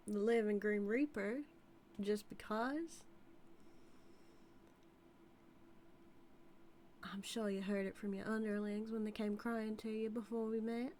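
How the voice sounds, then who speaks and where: A woman's voice: mid-range voice, fairly even intonation, normal speed, darkish tone, a little hiss or hum, close to an everyday voice. A woman playing a flirty, dominant outlaw cowgirl with a Southern US accent in a scripted audio roleplay, drawling and teasing. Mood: boastful, wistful. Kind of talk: scripted audio roleplay, intimate character acting.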